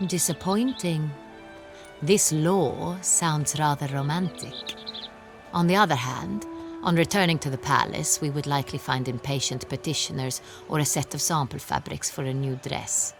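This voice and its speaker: A low female voice plays an imperious game duchess, regal and formal. She speaks as a ruler used to obedience, haughty and easily indignant. Faint music plays underneath. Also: moderate pace, vocal fry. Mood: composed, regal. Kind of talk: video game character acting.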